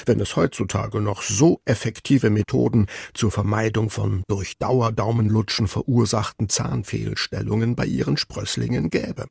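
A man with a very gravelly, husky voice presenting a scripted radio feature in a wry, wordy manner. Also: on the low side, steady tone, moderate pace, hardly any pauses, darkish tone, no room sound, studio quality. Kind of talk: scripted narration, feature moderation.

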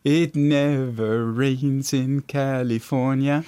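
A man whose first language is Dutch, a science journalist guest explaining things in a relaxed radio talk show interview, laughing easily. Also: medium pitch, takes it slowly, smooth, no echo. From Netherlands.

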